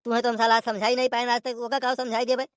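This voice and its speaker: A woman's voice: on the high side, level tone, quick pace, clean tone, no room sound, close to an everyday voice. Cartoon role: an exasperated old father, grumbling and weary, as a woman puts him on. Dry, close sound. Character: exasperated elderly father, trying to calm the family.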